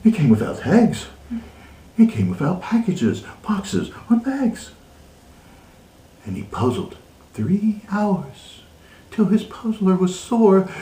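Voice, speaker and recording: A man gives a dramatic storybook reading in a fairly high male voice. He goes slowly with emphatic, theatrical phrasing and very lively pitch, recorded in an echoey room. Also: gravelly, far from the mic. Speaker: co-host and storybook reader.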